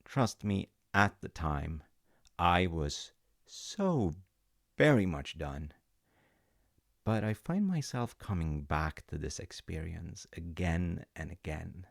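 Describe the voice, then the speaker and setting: A man telling a gentle, rambling story as a comforting dad, with self-mocking humor, close to the mic. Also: low voice, big pitch swings, slow, creaky, dry recording. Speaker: audio roleplay storyteller playing a dad.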